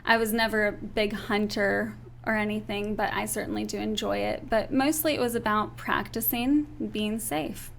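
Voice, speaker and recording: A woman's voice: medium pitch, fairly even intonation, normal speed, vocal fry, hardly any pauses, fairly bright tone, a little hiss or hum. A young woman, raised in Turkey, giving an interview and laying out her views point by point. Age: young adult. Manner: earnest, lays out points in order. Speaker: interview guest. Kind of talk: earnest political interview.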